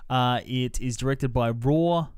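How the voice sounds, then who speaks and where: A man's voice: medium pitch, measured pace, darkish tone, clean tone, no echo, clean studio sound. A man hosting a podcast, chatting through a film review in a conversational way. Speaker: podcast host.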